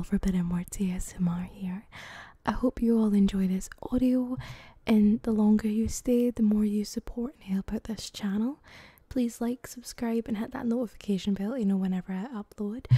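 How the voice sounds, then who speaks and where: Soft, breathy British woman whispering. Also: on the high side, dry recording. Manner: soft, half-whispered, chatty. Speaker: ASMR channel creator. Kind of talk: casual whispered ASMR channel intro.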